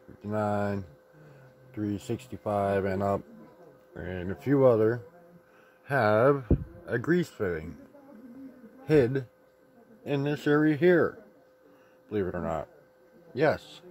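A man's voice: on the low side, darkish tone, low-quality recording. A man giving a casual hands-on repair tip in a rambling, plain-spoken, mildly profane way. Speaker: chainsaw repair tip presenter.

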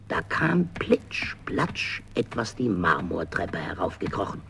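A man's voice: deep voice, very animated, slow, vocal fry, fairly bright tone, in a whisper. A man narrating a fairy tale in a dramatic, scripted storytelling style.

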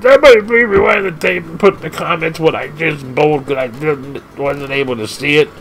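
A man casually commenting on an arcade game as he plays, excitable and laughing often, leaping from squeaky highs to low growls. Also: creaky, slight background noise. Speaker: gaming channel host.

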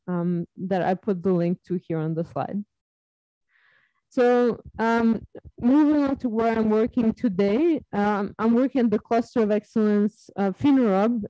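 A woman in her thirties from Serbia, giving an academic webinar talk on her research, measured and full of ums and uhs. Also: medium pitch, warm tone, dry recording. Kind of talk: academic presentation. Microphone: video call. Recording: dull lo-fi video call audio.

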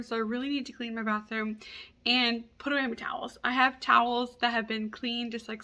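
A young woman chats to her viewers in a relaxed, rambling way, often filling pauses with "like". Her voice sits a touch higher than most, and she sounds easygoing. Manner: relaxed, chatty, heavy use of "like". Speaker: lifestyle vlogger. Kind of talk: casual vlog narration. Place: outdoors in strong wind, then at home.

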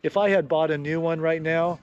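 A middle-aged man with a slightly high voice talks easily outdoors in a sunny boat yard. He rambles a little, restarting sentences and dropping fillers, into an action camera held at arm's length. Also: fairly even intonation, fairly quick, darkish tone, highs cut off. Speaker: vlogger rebuilding a trimaran. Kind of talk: casual walk-and-talk vlog.

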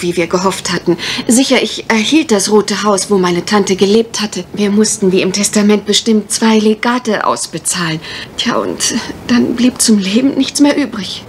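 An actress in a mystery radio play as an anxious, well-bred young parson's daughter, speaking politely and hesitantly in careful detail. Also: female voice, medium pitch, normal speed, natural voice. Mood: resigned, recounting. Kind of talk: 1980s German mystery radio play acting. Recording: faintly noisy old radio recording.

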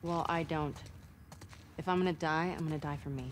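A woman's voice: sits low, fairly even intonation, a little fast, slight background noise, natural voice. An actress playing a tough young woman soldier in a video game cutscene, blunt and clipped. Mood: curt. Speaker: game character.

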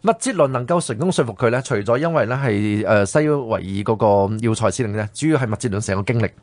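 Brisk Hong Kong man explaining history. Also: fairly low pitch, expressive, dry recording, clean studio sound. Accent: Hong Kong Cantonese. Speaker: radio programme co-host. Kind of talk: conversational history commentary. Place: radio studio.

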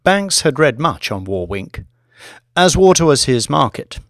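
Expressive male audiobook narrator, lively pitch. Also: no room sound, clean studio sound. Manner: deliberate, expressive phrasing. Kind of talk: dramatic scripted narration.

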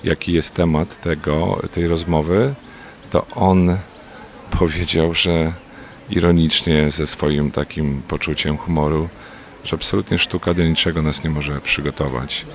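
A man's voice: rumbling low, level tone, a little slow. A man answering interview questions off the cuff, hesitant and full of filler sounds. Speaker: theatre festival organizer, interviewee.

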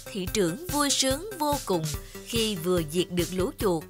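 A woman voicing the narration of an animated fairy tale in a storytelling style. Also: medium pitch, normal speed, lightly acted. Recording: music bed under the voice.

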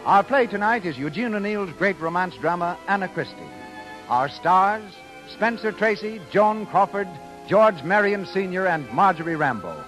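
High-pitched vintage American radio announcer, male. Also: lively intonation, normal speed, poor audio. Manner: formal, declamatory. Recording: 1938 radio broadcast. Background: music.

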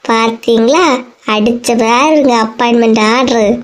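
Woman doing bossy proud cartoon housewife, middle aged, high voice, kanyakumari tamil accent, snaps back. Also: measured pace, natural voice. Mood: boastful. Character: boastful, combative middle-aged housewife and wife. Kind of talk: comedy cartoon dialogue.

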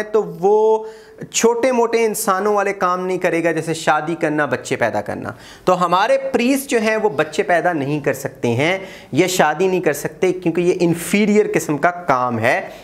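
A man gives an exam-prep history lecture. He gestures a lot, repeats key dates and often pauses with "uh". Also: high voice. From Pakistan. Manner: animated, gestures widely, repeats key dates, frequent "uh" fillers. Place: small room in front of a whiteboard. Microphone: lapel mic.

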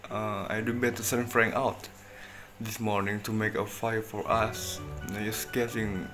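A young man playing a small-town sheriff in an amateur student play, reading his lines haltingly and hesitantly. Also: fairly low pitch, level tone, lightly acted. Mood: halting, matter-of-fact. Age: young adult. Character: Henry Peters, small-town sheriff reporting on the scene. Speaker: student actor in a class play. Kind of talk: amateur stage-play acting. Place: indoor kitchen. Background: music.

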